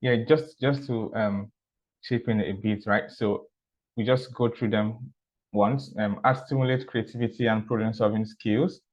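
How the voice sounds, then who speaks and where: A man sharing personal stories in a measured, casual way, often repeating words and asking "right?". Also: lowish voice, steady tone. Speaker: art teacher and architecture student. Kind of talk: casual talk. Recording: dull, muffled recording.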